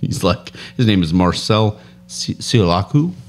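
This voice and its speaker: Low-voiced man telling a rambling story. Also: unhurried. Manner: rambling storyteller, laughs often, animated. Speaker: podcast co-host. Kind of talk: casual chat. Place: home studio room, indoors. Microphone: boom studio mic with headphones.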